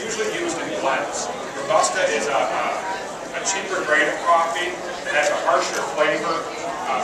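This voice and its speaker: A man with a Wisconsin accent giving an informal, plain-spoken product presentation to an audience as a company representative. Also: fairly high pitch. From Wisconsin, United States. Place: large echoey room at a trade food show. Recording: echoey hall, distant mic, crowd noise. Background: crowd chatter.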